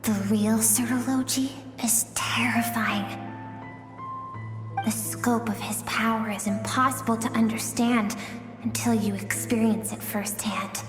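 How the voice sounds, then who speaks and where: Slow, high-voiced mysterious female guide acted by a woman. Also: fairly even intonation, lightly acted. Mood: confiding, awed. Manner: gentle, unhurried, confiding storytelling. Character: wistful, mysterious female guide through another's memories. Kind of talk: dramatic game narration. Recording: echoey long reverb, background music.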